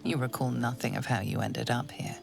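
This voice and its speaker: Gravelly hushed narrator lady, ominous game storyteller, music under her. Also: very deep voice, fairly even intonation, exaggerated voice. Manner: slow, intimate, second-person telling. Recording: game score behind.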